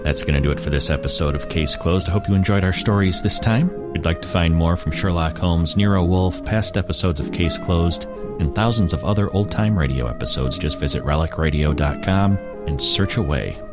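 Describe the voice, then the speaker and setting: A man's voice: low voice, brisk. A man giving a scripted podcast sign-off as the host. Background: prominent guitar music.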